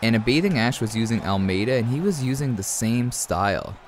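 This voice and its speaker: A man's voice: mid-range voice, lively intonation, fairly quick, a little hiss or hum. A young man from Canada with a cold, chatting casually while breaking down his gameplay, often calling his listeners man and guys. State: has a cold. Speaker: gaming commentator.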